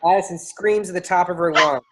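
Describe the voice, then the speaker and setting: A woman's voice: on the low side, brisk, very noisy. A woman reading stage directions as scripted narration in a staged play reading.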